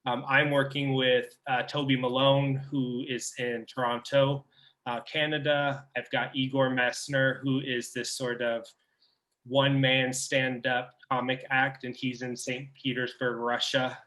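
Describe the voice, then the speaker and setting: A man with a bright, clear tone talks loosely over a video call. He stops and starts a lot, with plenty of fillers, and his pitch sits in the middle range. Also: little pitch movement, a little slow. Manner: hesitant, many fillers and restarts. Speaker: theater staff member. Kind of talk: casual conversation on a video call.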